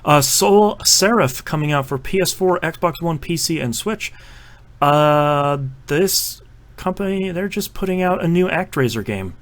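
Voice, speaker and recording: A man hosting a casual podcast about video games, chatting and running the segments with quick asides. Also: a little high, animated. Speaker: podcast host. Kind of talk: casual chat.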